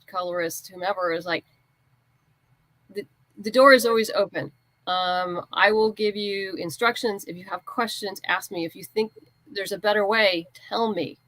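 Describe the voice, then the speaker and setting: A woman with a medium-pitched voice in a very dry recording, answering as an interview guest. She talks informally and conversationally, illustrating points with little anecdotes and acting out bits of past exchanges. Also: fairly bright tone, dry recording, poor audio. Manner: informal, explains through anecdotes and quoted exchanges. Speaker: guest, writer and comics creator.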